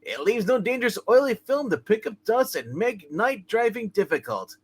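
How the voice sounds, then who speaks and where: A man's voice: high voice, sing-song, moderate pace, breathy edge. A man reading a script in a playful, hammy amateur performance style. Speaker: play reader.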